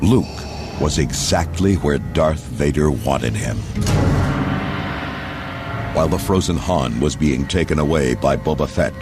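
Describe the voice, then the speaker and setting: A man's voice: very deep voice, unhurried, long pauses. A man reading scripted, dramatic narration for a children's readalong storybook. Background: orchestral music.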